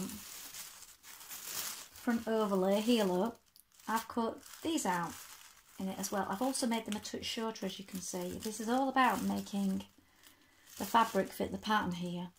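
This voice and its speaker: Woman, 50s, crafting vlogger; slightly croaky, chatty explainer, roomy echo, fixed desk camera. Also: medium pitch, unhurried, a little hiss or hum. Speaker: sewing vlogger. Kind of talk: casual show-and-tell. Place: bright sewing room, indoors.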